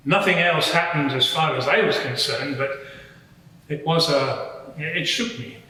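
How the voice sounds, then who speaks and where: A man playing a matter-of-fact Roman centurion giving hesitant, measured testimony as a witness at an inquiry. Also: fairly high pitch, pushed and bright. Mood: earnest, unsettled. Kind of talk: semi-improvised courtroom testimony. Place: large reverberant courtroom. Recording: very echoey hall, distant mic.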